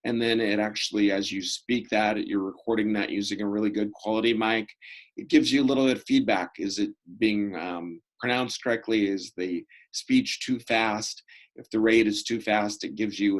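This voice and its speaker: A middle-aged man answering interview questions in a measured, explanatory way with halting pauses. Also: lowish voice, steady tone, low-quality recording. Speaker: interview guest, school prevention programs coordinator.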